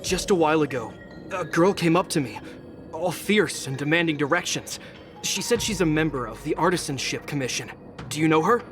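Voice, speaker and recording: A man's voice: fairly high pitch, expressive, brisk, lightly acted. An actor voicing a nervous, easily scared townsman who gossips in a game cutscene. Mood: gossipy. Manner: chatty, gossiping. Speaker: game character. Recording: game audio over loud music.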